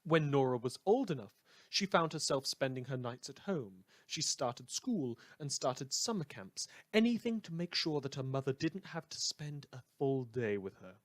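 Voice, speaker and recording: Man playing a theatrical villain radio host, animated delivery. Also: medium pitch, moderate pace, hardly any pauses, no room sound, lightly acted. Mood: solemn storytelling. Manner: theatrical, storytelling with dramatic emphasis. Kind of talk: dramatic scripted radio monologue.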